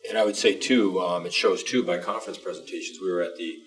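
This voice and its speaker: A man's voice: low voice, fast talker, recorded from a distance. A man speaking as a school superintendent in an informal panel discussion, explaining emphatically in a rambling way. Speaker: school superintendent co-hosting a district show.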